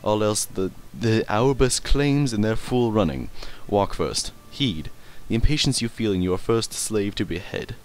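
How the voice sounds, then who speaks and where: Guy with a lowish voice doing a lets play, rambly, swears a bit, stumbles on big words. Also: animated, a little slow, few pauses, slight background noise. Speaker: let's play gamer and commentator. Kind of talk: casual gameplay commentary and reading in-game text aloud.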